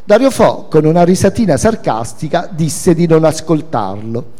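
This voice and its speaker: A man reading a memoir aloud as its author, in an expressive, theatrical storytelling manner. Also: a little high, moderate pace. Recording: echoey hall with music. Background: music.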